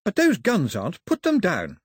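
A man's voice: high voice, huge pitch swings, moderate pace, slightly rough, no room sound, studio quality, voice pushed up, full character voice. A man reading aloud and voicing a headstrong, fiery young woman who speaks passionately and defiantly.

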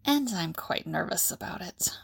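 A woman's voice: fairly low pitch, lively intonation, moderate pace, vocal fry, slightly rough, exaggerated voice. An actress playing an anxious, protective woman in an audio drama. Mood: nervous.

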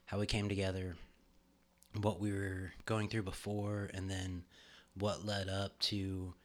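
Middle-aged guy, really low voice, joking around and rambling on a home studio podcast. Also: level tone, measured pace. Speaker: podcast co-host. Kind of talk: casual chat.

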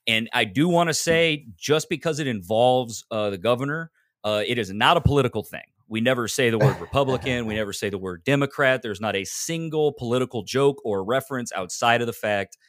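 A man's voice: mid-range voice, a little fast, a bit bright, no room sound, studio quality. An American man chatting casually as a podcast guest, rambling a little with self-deprecating humor. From Texas, United States. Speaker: podcaster and audio drama maker, guest. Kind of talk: casual interview chat.

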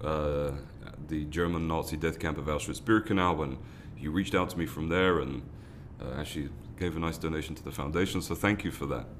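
A man's voice: very deep voice, a little fast, few pauses, a little hiss or hum. A man, a foundation founder giving earnest interview answers, often restarting and repeating words. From London, England. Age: 30s.

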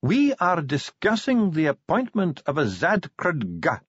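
A man's voice: a little high, wildly swooping pitch, unhurried, slightly rough, no room sound, lo-fi sound, pitched above the performer's own voice, full character voice. A man performs a haughty male dwarf official for an audiobook. The voice sits well above a normal male register and swings widely. He is pedantic and condescending, needling with icy precision. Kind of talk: audiobook character acting.

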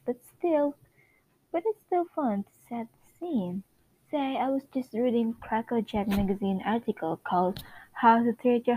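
A woman's voice: a little high, no echo, narrow, tinny audio, voice pushed up. A woman playing a nosy, chatty 1950s sitcom housewife neighbour, gushing in folksy old-fashioned slang.

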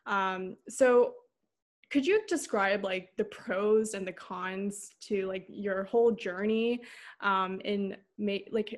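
A young woman interviewing a guest on a podcast, asking rambling questions full of fillers. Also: medium pitch. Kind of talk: casual interview talk.

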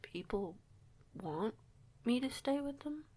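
A woman's voice: on the high side, animated, slow pace, warm tone. A woman playing a shy young female werewolf newcomer in an audio roleplay, hesitant, folksy and polite. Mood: timid, unsure.

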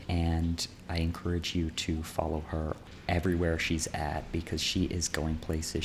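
A man's voice: low-pitched, slight background noise. A man hosting a podcast, asking friendly, enthusiastic questions in a casual interview. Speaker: podcast host.

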